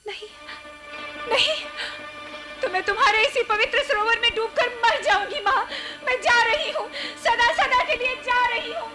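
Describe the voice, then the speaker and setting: An actress playing a despairing, wronged young wife in a radio play, melodramatic and pleading. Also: female voice, extremely high pitch, normal speed, pushed and bright, faint music behind. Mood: anguished, pleading. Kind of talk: Hindi radio play acting.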